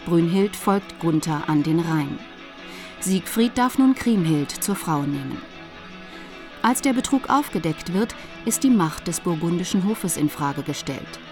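Female narrator, fairly low pitch, scripted radio play introduction, clear music underneath. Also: expressive, measured pace. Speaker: narrator, announcer.

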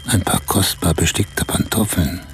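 Dreamy odd storyteller man, lowish voice, measured radio play monologue w music behind. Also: fairly even intonation. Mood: recounting, absorbed. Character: Augusto, strange man recounting a dreamlike night of lavish pampering. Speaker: radio drama actor.

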